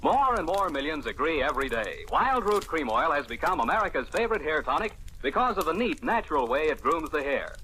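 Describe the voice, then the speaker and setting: A man's voice: fairly high pitch, sing-song, brisk, few pauses, pushed and bright, slight background noise. A man announcing in a 1940s radio style, with polished, emphatic salesmanship. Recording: old radio broadcast recording.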